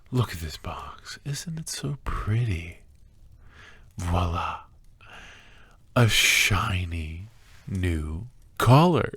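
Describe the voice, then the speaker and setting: Casting: male actor for obsessive yandere kidnapper, ASMR roleplay. Bass-low, unhurried, halting and soft, sinister yet doting, dry intimate sound. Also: lively intonation, no echo, heavily acted. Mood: excited, doting. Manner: soft, halting delivery with breathy whispers and sighs.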